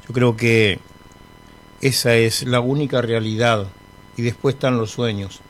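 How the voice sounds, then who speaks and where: Middle-aged argentine guy on the radio, chatty and rambling, mid-pitched voice, studio mic. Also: fairly even intonation, a little slow, slight background noise. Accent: Argentine Spanish. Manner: chatty, rambling, friendly with humour. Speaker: radio host. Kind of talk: casual radio presenting between songs.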